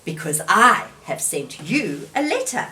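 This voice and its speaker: A woman voicing a kind, eager male frog in a children's storybook reading, bright and urgent. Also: medium pitch, very animated, measured pace.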